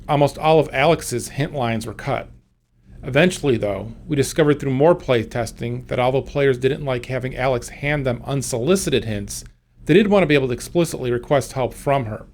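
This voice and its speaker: A man who works as a game developer, giving scripted commentary on design. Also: medium pitch.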